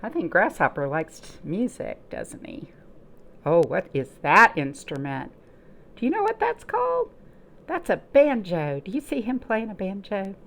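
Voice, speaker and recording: A woman's voice: medium pitch, wildly swooping pitch, measured pace, vocal fry. A woman leading a library storytime, chatting encouragingly to young children and asking them simple questions as she reads aloud.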